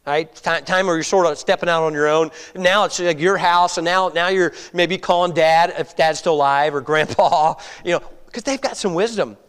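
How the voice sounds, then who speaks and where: A man's voice: fairly high pitch, moderate pace, few pauses, a bit bright, clean tone, big-room sound. A man in his fifties preaching a conversational sermon, full of rhetorical questions and frequent checks like "all right?". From United States. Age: 50s. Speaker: pastor.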